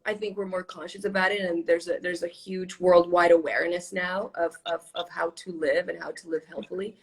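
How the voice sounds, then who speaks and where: A woman's voice: fairly low pitch, fairly even intonation, fairly quick, rough recording. A woman who grew up in Miami with Spanish as her first language, chatting expressively and thinking aloud as a guest on a casual live stream. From Miami, USA. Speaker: actress, guest.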